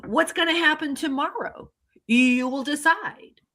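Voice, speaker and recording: A woman's voice: sits high, measured pace, fairly bright tone. A woman in her sixties co-hosting a casual online chat, upbeat and motivational. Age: 60s. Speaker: co-host.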